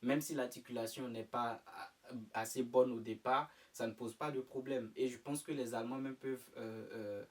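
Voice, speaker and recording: Young man, from Côte d'Ivoire, mid-pitched, hesitant, fillers, slightly distant mic. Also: fairly even intonation, moderate pace. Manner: hesitant, many fillers and restarts. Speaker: interview guest. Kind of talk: casual interview answers.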